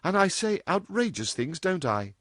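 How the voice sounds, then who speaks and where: A man's voice: sits high, very animated, normal speed, a bit bright, no room sound, clean studio sound, voice pushed up, full character voice. A man reading aloud and voicing an impudent, teasing young woman who talks flippantly to her mother. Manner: playful, cheeky, flippant.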